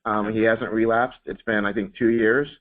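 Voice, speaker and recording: A man's voice: fairly low pitch, little pitch movement, normal speed. Man talking on a tinny conference line. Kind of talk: informal spoken remarks. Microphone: conference call phone line. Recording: narrow phone conference line.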